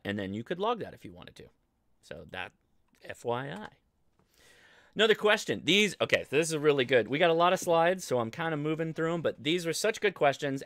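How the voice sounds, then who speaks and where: American male host, forties, for an informal explainer stream; clean, smooth voice, dry close sound. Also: a little high, fairly bright tone, no echo. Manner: informal, conversational, thinking aloud. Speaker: host of a ham radio show. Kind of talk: casual livestream explainer. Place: indoor home studio.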